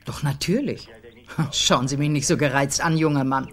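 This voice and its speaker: An actress playing a composed, dryly teasing older widow in a radio crime drama, speaking without hurry. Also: female voice, low voice, moderate pace. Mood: wry, recollecting. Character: composed, wry older widow of a private detective.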